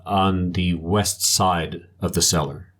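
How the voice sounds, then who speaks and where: A terse police marshal of the 1890s played by a man testifies in a trial reenactment. The voice is very deep, and the delivery is careful, formal and guarded. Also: fairly even intonation, takes it slowly, fairly bright tone, full character voice. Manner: clipped, formal, guarded answers. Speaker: voice actor reading a witness's part. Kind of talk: dramatized reading of a trial transcript.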